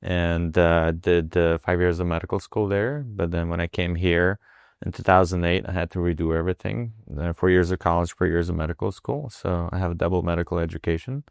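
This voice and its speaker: A man, a physician answering interview questions in a halting way, with many fillers and restarts. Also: low voice, steady tone, gravelly, clear, resonant tone, no echo, studio quality. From Russia. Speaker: wound care physician. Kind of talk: interview guest.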